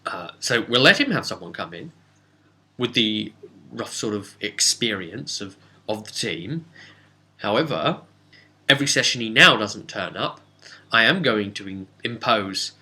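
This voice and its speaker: A man speaking as a game master, giving a casual, rambling recap of a role-playing session with dramatic pauses and asides. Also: lowish voice, expressive, pushed and bright. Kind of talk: casual spoken recap and storytelling.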